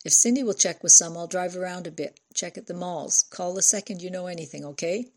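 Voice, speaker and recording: A woman's voice: on the low side, fairly quick, a little mellow. A woman voicing an anxious, affectionate mother of a teenager in an audiobook, earnest and coaxing. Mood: frustrated, pressing.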